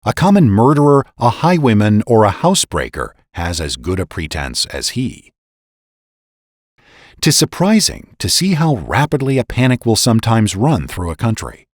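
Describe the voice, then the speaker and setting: A man's voice: fairly low pitch, very animated, moderate pace, no echo, studio quality. A man reading scripted historical narration in a solemn, oratorical way with emphatic phrasing. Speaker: podcast narrator and reader.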